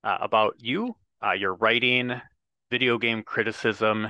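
Low-pitched man with a dry, close sound. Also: steady tone, measured pace, pushed and bright, dry recording. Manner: rambling, hedging, many fillers. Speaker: podcast host. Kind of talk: casual interview hosting.

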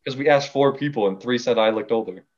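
A man's voice: mid-range voice, fast, darkish tone, dull audio. A young man in his late teens, a guest musician chatting casually and playfully bragging on a podcast. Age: young adult. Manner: laid-back, playful boasting.

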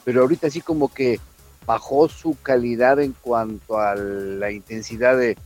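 A man on radio gives casual, explanatory commentary, wandering as he goes. His pitch is average and music plays faintly underneath. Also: fairly even intonation, normal speed, a little mellow. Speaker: radio show co-host. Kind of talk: casual news and sports commentary.

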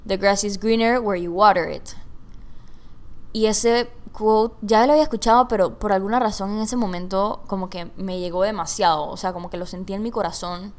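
Chatty young woman with a smooth voice. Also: mid-range voice, fairly even intonation, moderate pace. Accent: mixed Venezuelan and Panamanian Spanish. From Venezuela, raised in Panama. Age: young adult. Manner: chatty, mixes English words into Spanish. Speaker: podcast host. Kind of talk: casual personal podcast monologue.

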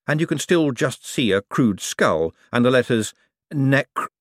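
A man reading an audiobook, voicing a wry, sharp-witted young man with a dry, sardonic manner. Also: mid-range voice, animated, a little slow, no echo, pitched above the performer's own voice, natural voice. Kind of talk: audiobook character voice.

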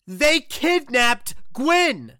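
A woman playing a panicky, excitable member of an adventuring team in an audio drama, urgent and overdramatic. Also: a little high, normal speed, no room sound, clean studio sound. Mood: panicked.

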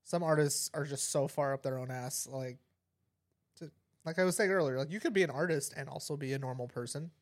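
A man's voice: fairly high pitch, brisk. Laid-back, dry-witted podcast co-host man. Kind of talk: casual chat.

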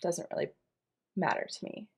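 A woman's voice: normal speed, a little mellow. Laid-back woman around thirty. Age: 30s. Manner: laid-back, joking, laughs easily. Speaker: podcast co-host. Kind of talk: casual chat.

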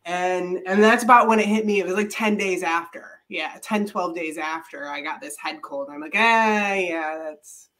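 Podcast co-host, woman with a lower voice, congested from a head cold, relaxed and chatty. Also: normal speed. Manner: chatty, laughs often, many fillers. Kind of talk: casual chat.

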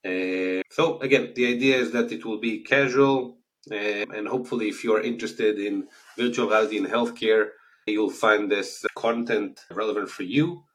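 A man's voice: fairly low pitch, steady tone, normal speed, fairly bright tone. A man in his forties from Israel with an Israeli accent, hosting a casual solo podcast in an earnest, explanatory way with frequent hesitations. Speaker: podcast host.

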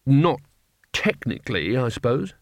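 A man voicing a hapless, pessimistic wizard who complains in a flat, gloomy, resigned way. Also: mid-range voice, unhurried, vocal fry, no echo, clean studio sound. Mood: sheepish. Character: glum, pessimistic, cowardly hapless male wizard.

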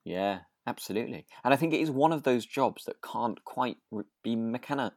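A man's voice: medium pitch, animated, brisk, no echo. British guy podcast cohost, casual n hesitant, bit giggly, really swoopy intonation, dry close sound. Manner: hesitant, giggly, self-deprecating. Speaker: podcast co-host. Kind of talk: casual chat about a radio drama.

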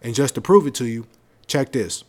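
A man with a naturally deep voice, hosting his own podcast in loose, slangy, rambling talk into a close microphone at home. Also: lively intonation, moderate pace, a little mellow. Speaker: podcast host. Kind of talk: casual solo podcast talk. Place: home setup, seated on a leather seat.

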